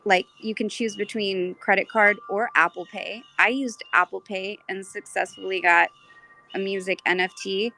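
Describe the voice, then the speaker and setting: Gravelly-edged woman in earnest discussion. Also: mid-range voice, steady tone, normal speed, sharp tone, a little hiss or hum, poor audio. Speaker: speaker in an online audio community discussion. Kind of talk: casual, reflective group discussion.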